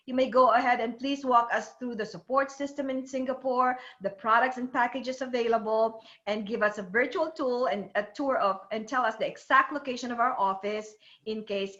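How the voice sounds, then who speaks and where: A woman's voice: high voice, pushed and bright, muffled recording. A woman hosting a virtual meeting, enthusiastic as she welcomes her guest over a video call. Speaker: host of the call.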